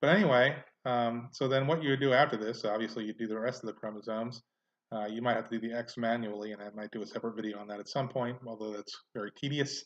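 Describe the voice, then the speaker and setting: A man hosting a casual genealogy software tutorial, thinking aloud as he clicks through, with frequent "uh" and "um" fillers. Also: mid-range voice, steady tone, quick pace, lo-fi sound. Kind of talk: casual screen-recorded software walkthrough.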